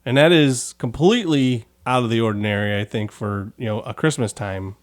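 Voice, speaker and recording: A man's voice: medium pitch, normal speed. A man with a mid-range voice hosts a podcast interview. He chats casually, exclaims readily at what he hears and teases his guests between questions. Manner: exclaims in surprise and banters teasingly. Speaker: podcast host.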